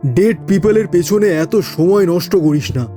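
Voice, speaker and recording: A man acts a scolding, practical relative in an audio drama. His voice is fairly high and soft-edged, with slow, exasperated phrasing. Music plays faintly behind. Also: expressive. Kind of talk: audio story acting.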